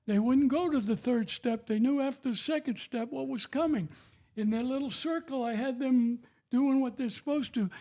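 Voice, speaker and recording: A man's voice: extremely high pitch, mellow. An older man giving an emphatic, repetitive, preachy testimony about faith and raising children.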